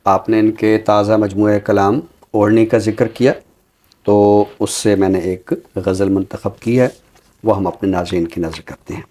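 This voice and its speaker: Deliberate man on a literary show. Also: on the low side, fairly even intonation, warm tone. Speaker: literary talk show commentator. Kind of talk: reflective commentary and Urdu poetry recitation.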